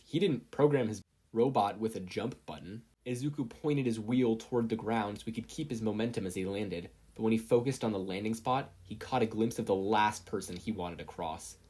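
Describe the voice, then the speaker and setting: Audiobook-style narrator: medium pitch, husky texture, animated scripted storytelling. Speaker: podfic narrator.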